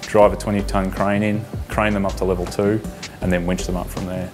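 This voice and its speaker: Aussie guy in his 30s, low voice, talking in an interview w music underneath. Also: little pitch movement, fairly quick. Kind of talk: interview testimonial. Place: bright indoor stadium room. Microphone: lapel.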